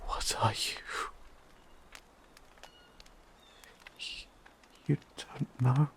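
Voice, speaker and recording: A performer voicing a shaken, emotional fantasy companion in an audio roleplay, speaking haltingly with stammers and whispers. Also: close to an everyday voice. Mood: stunned, fearful. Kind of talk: dramatic audio roleplay addressed to listener.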